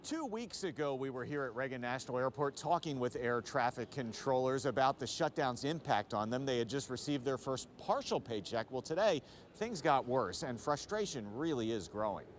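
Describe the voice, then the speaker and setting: A man's voice: mid-range voice, lively intonation, moderate pace, slight background noise. An American man reporting for TV news as a field reporter, narrating the story over background ambience.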